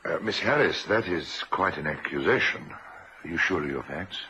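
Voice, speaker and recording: An actor playing a formal, earnest doctor in a vintage radio drama, speaking in a measured, hesitant way. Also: male voice, deep voice, expressive, pushed and bright, slight background noise. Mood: stern, probing. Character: genteel male doctor, earnest old acquaintance of the victim. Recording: muffled vintage radio recording.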